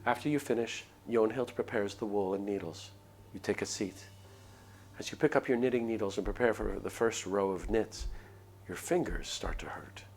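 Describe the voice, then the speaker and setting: A man's voice: on the low side, normal speed, creaky, darkish tone, slight background noise. A man reading a visual novel aloud on a stream, narrating the story expressively. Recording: stream with faint background ambience.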